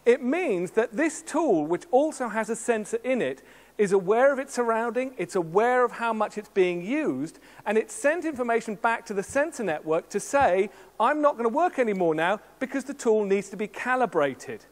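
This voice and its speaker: A middle-aged man giving a lively, joking live demo as a keynote presenter on a large conference stage. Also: sits high, normal speed. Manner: lively, jokey showman with sound effects. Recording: long hall reverb.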